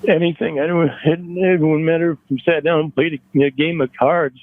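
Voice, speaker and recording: An upset man with a slightly high voice talks haltingly on a private call, close to tears and full of self-pity. The audio is dull and narrow, like a phone recording. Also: fairly even intonation, slight background noise. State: upset, tearful. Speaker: caller. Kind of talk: emotional private phone conversation. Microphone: headset on a recorded call.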